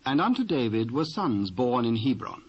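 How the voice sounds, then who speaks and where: A British man narrating dramatised scripture in a measured, formal storytelling manner. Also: medium pitch, sing-song. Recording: dull, hissy old cassette transfer.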